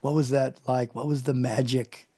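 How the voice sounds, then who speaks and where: A male interview host with a gravelly, creaky voice talks with effusive, gushing enthusiasm, often restarting his phrases. He is recorded dry and close, with no room around him. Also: mid-range voice, steady tone, moderate pace, warm tone, no echo. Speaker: show host.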